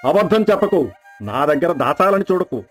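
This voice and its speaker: A man's voice: sits high, animated, a little fast, over music. A man voicing a greedy, suspicious village landlord, the bullying villain of an acted moral story.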